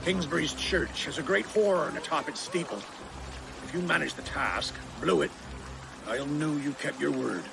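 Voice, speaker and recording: A man playing a wary older Saxon reeve in a video game, gravelly and guarded. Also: a little high, moderate pace. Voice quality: very creaky, gravelly. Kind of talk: dramatic video game cutscene acting. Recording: clear background ambience noise.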